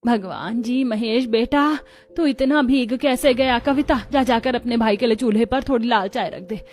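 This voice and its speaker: A woman's voice: fairly high pitch, moderate pace, darkish tone, close to an everyday voice. A woman voicing an anxious, caring elderly grandmother in a cartoon moral story, fussing and giving instructions. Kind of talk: Hindi animated moral story acting.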